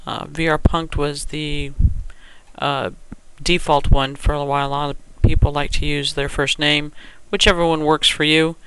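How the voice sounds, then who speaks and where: A man giving an unscripted step-by-step software tutorial, thinking aloud in a halting way as he works. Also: a little high, slight background noise, poor audio.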